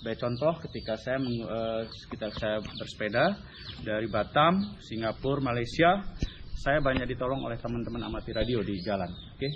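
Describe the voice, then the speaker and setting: Pria Indonesia, rekaman agak redam. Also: mid-range voice. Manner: explanatory, halting, often tags phrases with "ya". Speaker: amateur radio hobbyist and touring rider presenting his gear. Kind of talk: informal explainer and equipment demonstration. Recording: dull lo-fi sound with light background noise.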